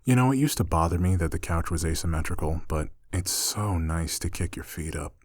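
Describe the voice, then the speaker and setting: A man acting an affectionate, teasing roommate in an intimate ASMR roleplay, soft-spoken and playful. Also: low-pitched, lively intonation, brisk, no room sound. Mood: gentle, reassuring. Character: affectionate, teasing male roommate gently pampering a shy catgirl.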